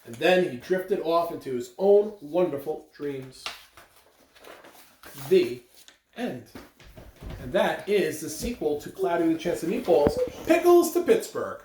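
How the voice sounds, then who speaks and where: A person reading a children's storybook aloud with expressive, animated delivery. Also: mid-range voice, unhurried. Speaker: school staff member reading aloud. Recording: roomy, distant mic with clear background noise.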